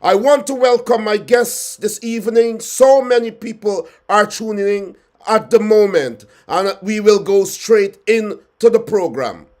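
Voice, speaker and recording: A man's voice: high voice, measured pace, few pauses, a little mellow. A man hosting a studio talk show, formal in tone, often repeating phrases and restarting with fillers.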